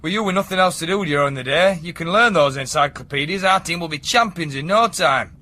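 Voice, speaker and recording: An actor playing a plain-spoken, domineering working-class boyfriend with a Yorkshire accent in a radio drama. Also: male voice, on the high side, expressive, brisk, bright, forceful tone. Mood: chatty, bossy. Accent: Northern English, Yorkshire. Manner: matter-of-fact, bossy, plain-spoken.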